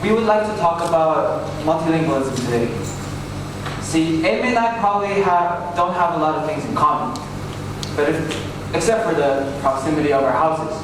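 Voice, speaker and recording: A man's voice: on the high side, moderate pace. Young man presenting in a very echoey hall. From Seoul, South Korea. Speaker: student speaker. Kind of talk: rehearsed presentation to an audience. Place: large echoey indoor event hall. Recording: very echoey hall, distant, noisy.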